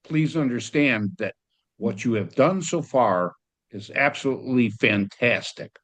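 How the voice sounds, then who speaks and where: A man's voice: medium pitch, animated, unhurried, a bit bright. A man giving effusive, emphatic feedback in a casual way as an audience member on a video call.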